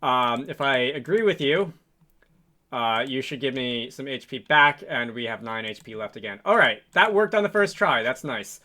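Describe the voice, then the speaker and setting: A man hosting a casual programming tutorial, thinking aloud in a halting, hesitant way as he works through code on screen. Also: mid-range voice, animated, pushed and bright. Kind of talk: casual screen-recorded coding walkthrough.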